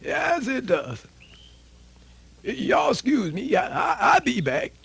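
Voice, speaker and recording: A man playing a folksy, cheerful old country storyteller, stammering and muttering in a gravelly voice for radio theatre. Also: very high-pitched, huge pitch swings, a bit bright, exaggerated voice. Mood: folksy, musing. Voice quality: creaky, gravelly. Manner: stammering, muttering, drawn-out rustic talk. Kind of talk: radio theatre character acting.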